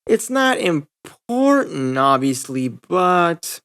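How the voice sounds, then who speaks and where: A man acts out a brash teenage tomboy in a story. The voice is raised in pitch, energetic, casual and eager. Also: very slow, clear, resonant tone, heavily acted.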